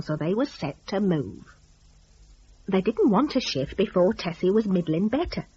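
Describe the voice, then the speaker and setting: A woman's voice: mid-range voice, darkish tone, slightly rough, muffled recording. A woman telling a story dramatically, in a folksy, conversational rustic idiom.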